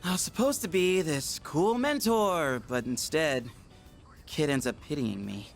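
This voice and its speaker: A man's voice: fairly high pitch, a little slow, creaky. An actor voicing a laid-back, goofy, self-conscious teenage boy with an American accent and slangy delivery in a video game. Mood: sheepish, downbeat. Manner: slangy, casual, animated. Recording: music behind the voice. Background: game music.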